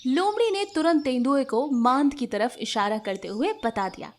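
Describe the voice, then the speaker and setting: Female narrator, higher-pitched, for scripted children's animal fables. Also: lively intonation, moderate pace, a little hiss or hum. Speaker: storyteller.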